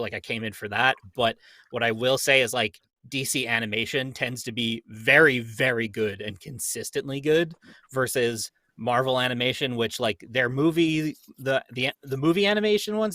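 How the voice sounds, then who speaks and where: A man's voice: mid-range voice, bright, forceful tone, no echo. A young man chatting casually as a fan, opinionated and digressive, often dropping in "like". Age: young adult. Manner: opinionated, digressive, frequent use of "like".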